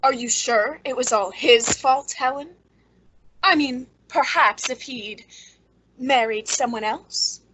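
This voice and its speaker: A woman acting a bitter, clever, quietly menacing character in an old-time radio suspense play, brooding and pointed in delivery. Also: sits high, takes it slowly, breathy edge, exaggerated voice, rough recording. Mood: brooding, probing.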